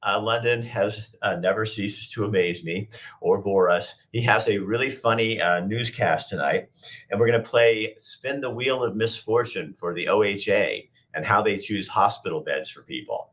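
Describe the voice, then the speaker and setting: A man hosting a talk show in a casual, chatty way, his voice hoarse from a cold. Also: on the low side, moderate pace, fairly bright tone. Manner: chatty, rambling, upbeat.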